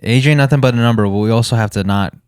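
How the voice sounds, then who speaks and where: A man's voice: medium pitch, racing, clear, resonant tone, no echo, clean studio sound. A man co-hosting a podcast, chatting casually, teasing his friends and steering the conversation. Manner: teasing, joking, steers the talk. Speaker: podcast co-host. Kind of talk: casual chat.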